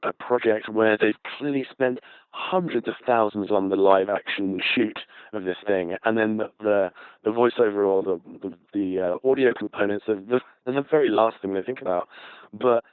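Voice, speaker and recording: A man's voice: on the low side, moderate pace, clear, resonant tone, dry recording. A man hosting a conversational panel discussion, heard through a narrow radio transmission. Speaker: panel host.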